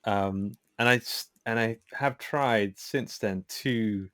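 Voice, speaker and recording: Guy chatting on a podcast, kinda low voice, dry recording, thinking out loud, halting and honest. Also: no room sound. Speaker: podcast guest, writer. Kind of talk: casual interview conversation.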